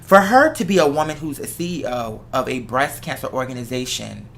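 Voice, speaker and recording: A bright, forward voice with a middling pitch. The host talks in an opinionated, conversational way, leaning hard on points and repeating phrases to drive them home. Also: expressive, a little fast, gravelly, a little hiss or hum. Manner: emphatic, repeats phrases for stress.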